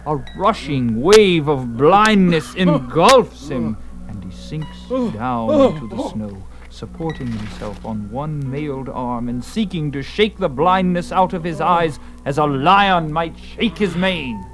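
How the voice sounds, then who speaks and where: A man with a fairly high voice tells a dramatic fantasy story as narrator. His delivery is slow, theatrical and intense, with music softly under it. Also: lively intonation. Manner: theatrical, intense, deliberate phrasing.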